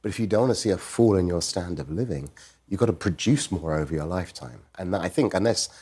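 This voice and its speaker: A man answering in an interview clip, explaining his points in a brisk, matter-of-fact way. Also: deep voice, expressive, dark tone, husky.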